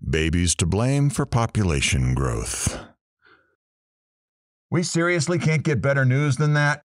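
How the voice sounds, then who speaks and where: A man's voice: mid-range voice, lively intonation, measured pace, no echo, studio quality, lightly acted. A man playing a wisecracking, sarcastic radio talk show host in an audio drama. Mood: wry.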